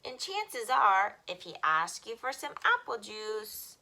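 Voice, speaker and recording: A woman reading picture books aloud to young children as a story time host, with expressive, simple phrasing. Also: medium pitch, slow pace, gravelly, slight background noise, rough recording.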